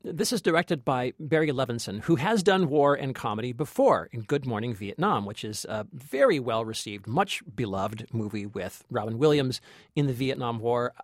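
A man's voice: medium pitch, animated, normal speed, no echo, studio quality. A man co-hosting a movie podcast as a film critic, talking conversationally about films.

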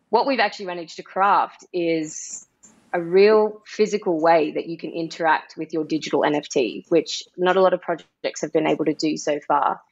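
An Australian woman being interviewed as a project co-founder, speaking in an upbeat pitching manner with some uh fillers over a remote call. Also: dull audio. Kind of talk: casual interview talk.

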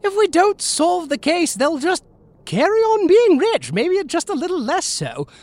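An actor playing a pompous, excitable Victorian detective hungry for fame, grandiose and theatrical in an audio comedy. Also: male voice, very high voice, measured pace, dry recording, full character voice. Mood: scheming, persuasive. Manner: grandiose, theatrical, self-important.

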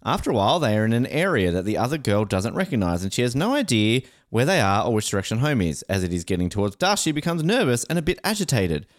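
A man's voice: medium pitch, big pitch swings. A man co-hosting a podcast in casual banter, joking, laughing and reading jokes aloud. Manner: jokey, laughing, quick silly voices. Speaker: podcast co-host.

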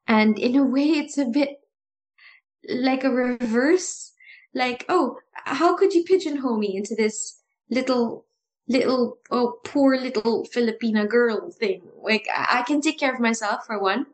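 A woman co-hosting a casual talk about improv, animated and often restarting her sentences with a laugh. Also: on the high side, measured pace. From Philippines. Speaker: improv podcast co-host. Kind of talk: casual chat.

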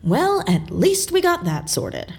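Flippant, lively female agent. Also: very high-pitched, slow, creaky, exaggerated voice. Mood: wry. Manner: dry, breezy and wry. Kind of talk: audio drama acting.

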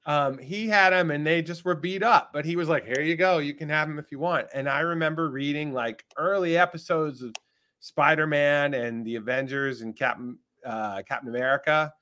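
A middle-aged man from North Carolina, a composer guest, telling stories in a relaxed, easygoing podcast chat. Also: on the high side, moderate pace. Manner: relaxed, storytelling, laughs easily. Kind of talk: casual podcast interview.

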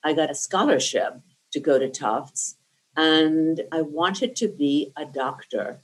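A woman's voice: sits low, slow pace, poor audio. A woman in her sixties from New Jersey, whose first language was Lithuanian, tells her life story in an interview in an articulate and reflective way. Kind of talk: oral history interview.

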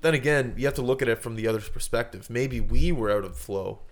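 A man's voice: medium pitch, quick pace. A man hosting a podcast in casual chat, explaining things in a mock-serious way. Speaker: podcast host.

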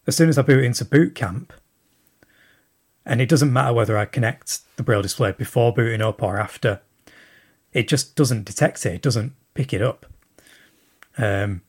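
A man with a husky, slightly breathy voice leaves a long recorded message for a show. He thinks aloud as he goes, circling back with plenty of ums. His voice sits at an ordinary middle pitch. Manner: rambling, thinking aloud with many fillers. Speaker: listener sending in a question.

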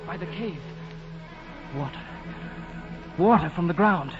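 An actor playing an urgent, excitable village man in an old radio drama, exclamatory in manner. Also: high voice, slow. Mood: amazed, urgent. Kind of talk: 1940s radio drama acting. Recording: muffled old radio, music and crowd.

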